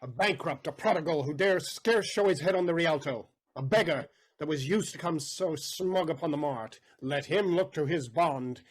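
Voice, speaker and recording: An actor playing a bitter, vengeful moneylender and betrayed father in a Shakespearean audio play, with a husky voice and theatrical, emphatic delivery. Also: on the high side, normal speed, few pauses, lightly acted. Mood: vengeful scorn. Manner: theatrical, emphatic, swinging between hushed and loud.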